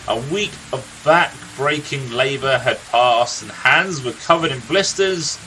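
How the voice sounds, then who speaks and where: A man playing a gruff, irritable older villager in a video game, reading out a tale in a blunt storytelling manner. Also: mid-range voice, measured pace, bright, forceful tone, a little hiss or hum, low-quality recording. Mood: dramatic storytelling.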